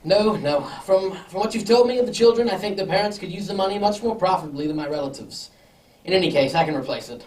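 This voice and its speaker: A woman playing a generous, unruffled, well-off gentleman in a radio drama. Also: mid-range voice, quick pace, far from the mic, close to an everyday voice. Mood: generous, calm. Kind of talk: amateur radio drama acting. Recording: echoey room, off mic, faint noise.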